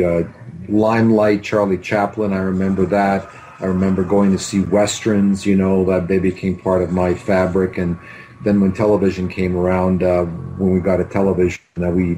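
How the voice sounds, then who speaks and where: A man's voice: low-pitched, warm tone, slight background noise, low-quality recording. A man, a film director reminiscing in an interview in a hesitant, rambling way over a video call. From Canada. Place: home room with bookshelves. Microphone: computer mic on video call.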